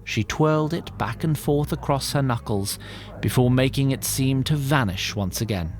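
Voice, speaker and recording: A man narrating a scripted audio story in a measured, dryly wry way. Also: mid-range voice.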